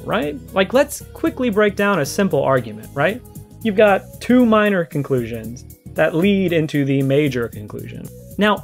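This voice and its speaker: Higher-voiced man doing casual video commentary. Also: gravelly. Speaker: video creator giving a response. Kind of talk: casual commentary to camera or mic. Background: background music.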